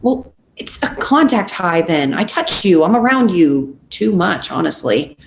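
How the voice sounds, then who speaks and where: A woman's voice: on the low side, sing-song, a little slow, gravelly, heavily acted. A woman playing a blunt, sarcastic, impatient young best friend in a script table read. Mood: exasperated, rattled. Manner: sardonic, impatient. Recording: echoey room with faint noise.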